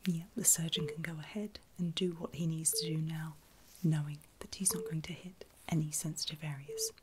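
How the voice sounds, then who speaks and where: Woman, low-pitched soft whisper, breathy, unhurried, gentle bedside ASMR roleplay, audible background noise. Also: sing-song. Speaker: ASMR performer playing a medical carer.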